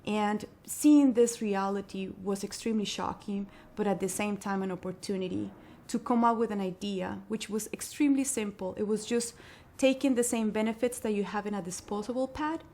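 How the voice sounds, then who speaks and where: A woman's voice: medium pitch, normal speed, warm tone. Earnest woman. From Colombia. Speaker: guest, social entrepreneur. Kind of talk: interview answers.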